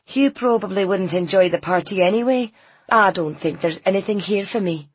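A female narrator plays a bitter village woman from Scotland in a storybook. She is curt and suspicious, grumbling through her lines in a husky voice that sits close and dry. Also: medium pitch, moderate pace, no echo, voice pushed up, natural voice. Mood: resigned, wary.

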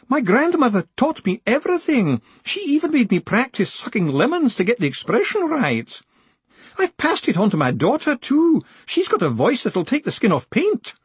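A man's voice: very high-pitched, husky, no room sound, pitched above the performer's own voice, heavily acted. A man voicing a tearful, melodramatic older woman who works as a post office clerk, wailing and sobbing as she speaks.

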